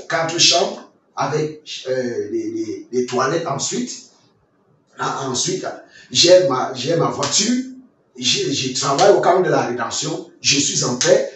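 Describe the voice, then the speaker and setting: A man from Nigeria teaching a Bible lesson in sermon style, telling his own testimony and reading scripture slowly, often repeating words and restarting phrases. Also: a little high, heavy reverb, recorded from a distance, slight background noise. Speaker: Sunday school teacher.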